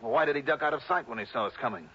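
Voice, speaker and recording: An American actor playing a tough, cool-headed private investigator in an old radio drama, clipped and commanding. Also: male voice, mid-range voice, expressive, rapid-fire, close to an everyday voice. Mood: urgent, alert. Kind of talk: old-time radio detective acting. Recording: noisy, muffled vintage radio recording.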